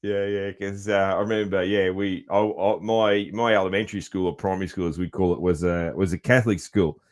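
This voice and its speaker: Man, podcast host, very deep voice, loud, laid-back, laughs a lot. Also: steady tone, fairly quick. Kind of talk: casual interview chat.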